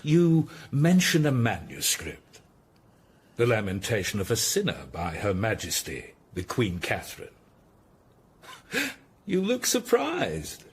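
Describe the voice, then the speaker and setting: A ruthless royal secretary in a Tudor radio drama. His low voice is very husky and swings from slow sarcasm to deliberate threat. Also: huge pitch swings, heavily acted. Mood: cold menace. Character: menacing, sardonic Tudor royal secretary, ruthless older statesman.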